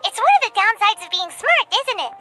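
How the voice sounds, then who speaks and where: A woman voicing a tiny, chatty, cheeky fairy girl with a squeaky childlike voice in a video game cutscene. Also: very animated, brisk, bright, forceful tone, slight background noise, full character voice. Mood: cheeky, eager. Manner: exclamatory, refers to self in third person.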